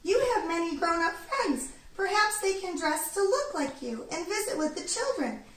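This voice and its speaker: A woman voicing a tiny female bird in a children's story, with a squeaky, chirpy, excitable voice. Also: normal speed. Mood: helpful. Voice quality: squeaky little voice. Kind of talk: children's storybook read-aloud. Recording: roomy, distant, faint background noise.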